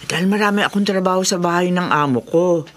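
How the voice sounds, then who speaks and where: A woman playing a caring, apologetic working mother in a radio drama, with a gentle, concerned manner. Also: on the low side, fast, close to an everyday voice.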